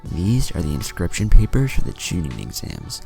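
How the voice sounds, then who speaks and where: A man voicing a composed, slyly competitive woman ninja teacher in a story, low, gravelly and measured. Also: very animated, music in the background, heavily acted.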